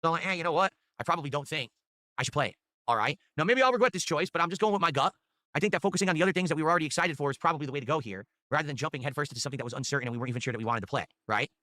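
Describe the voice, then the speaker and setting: A man's voice: animated, rapid-fire, bright, forceful tone. A man hosting his own stream, chatting casually and hyping up viewers for support. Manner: upbeat hype pitching for tips. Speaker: streamer host.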